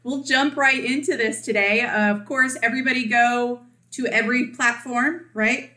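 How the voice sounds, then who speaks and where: A woman co-hosting a podcast in casual chat, talkative and quick to laugh, often acting out what others said. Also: on the high side, steady tone, pushed and bright, roomy sound. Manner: chatty, laughs often, acts out quoted voices. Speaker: podcast co-host.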